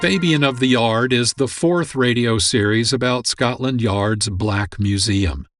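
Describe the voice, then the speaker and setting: A man's voice: mid-range voice, measured pace, music in the background. A man hosting a radio program with scripted narration. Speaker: producer and host.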